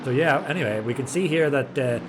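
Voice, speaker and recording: A man giving chatty, excitable live commentary on an online race, stumbling over drivers' names. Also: medium pitch, fairly quick, noisy background. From Ireland. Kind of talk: live sim racing commentary.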